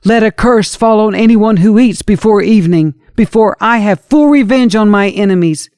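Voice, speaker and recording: A woman's voice: medium pitch, moderate pace, darkish tone, clear, resonant tone, no echo, studio quality, natural voice. A woman voicing a commanding, impatient warrior king in an audio scripture reading, with terse delivery. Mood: stern.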